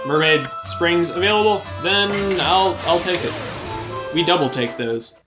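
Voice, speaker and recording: A man's voice: a little high, a little slow. Chatty young man narrating gameplay. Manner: chatty, self-deprecating, bouncy exclamations. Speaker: let's play host. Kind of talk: casual gameplay commentary. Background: game music.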